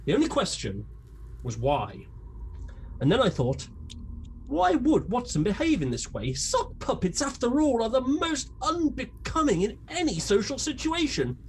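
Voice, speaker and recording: Someone playing a pompous male detective in a radio spoof, dry and full of himself, really animated, slight hiss behind. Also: medium pitch, unhurried. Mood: pompous deduction. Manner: pompous, dry, self-important. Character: vain, pompous, drily comic male gentleman detective. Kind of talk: comedy radio play acting.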